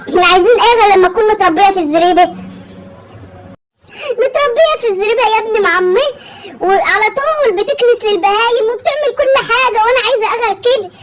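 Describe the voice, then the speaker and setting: A woman with an Egyptian accent playing a pushy rural mother, coaxing and insisting as she arranges her grown son's marriage over a one-sided phone call, calling him "my son" again and again. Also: very high-pitched. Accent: Egyptian Arabic.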